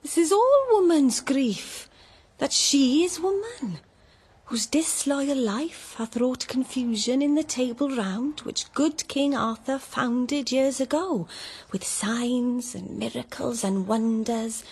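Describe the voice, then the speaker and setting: High-voiced innocent young novice girl. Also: animated, slow pace, a little mellow, breathy edge, exaggerated voice. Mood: earnest, consoling. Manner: earnest archaic verse delivery. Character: innocent, chattering little novice maid in a nunnery. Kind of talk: dramatic verse audio drama. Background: music.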